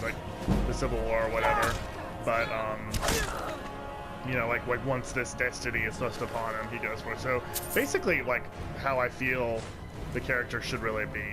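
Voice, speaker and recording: A man in his twenties giving casual, rambling commentary over gameplay, full of "like" fillers and jumping up in pitch when excited. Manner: rambling, heavy use of "like" fillers. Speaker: let's play commentator. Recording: steady noise behind voice.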